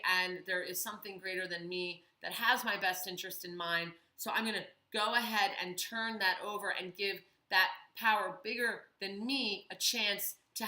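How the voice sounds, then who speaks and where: A woman giving an earnest, reflective talk for her video series, with thoughtful pauses and fillers. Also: mid-range voice, bright, forceful tone, echoey room. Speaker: vlogger. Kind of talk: reflective personal talk to camera.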